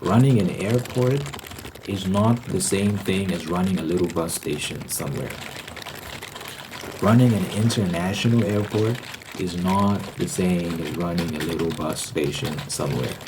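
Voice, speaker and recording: A man's voice: sits low, normal speed, noisy background. A man hosting an unscripted ASMR ramble, explaining his opinions and repeating points for emphasis. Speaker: host of a rambling ASMR channel.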